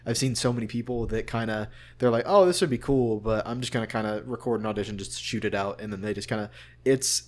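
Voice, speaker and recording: A man's voice: on the low side, quick pace. An American man from central Arkansas chatting casually in an interview, thoughtful and rambling as he circles back on his phrasing. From central Arkansas, United States. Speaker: voice actor being interviewed.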